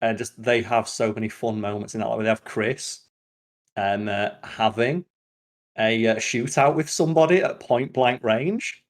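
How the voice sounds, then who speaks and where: A man hosting a podcast, chatting casually and retelling scenes in an animated, rambling way full of filler "like". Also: medium pitch, moderate pace. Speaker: podcast host. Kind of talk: casual podcast chat about films.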